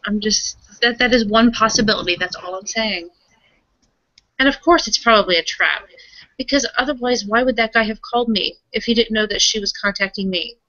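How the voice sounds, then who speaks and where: A woman speaks casually in character during a tabletop roleplaying session. Her voice is bright and tense, and she explains in halting, self-correcting runs. The recording is dry and a little muffled. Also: mid-range voice, dry recording. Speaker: player in a roleplaying game.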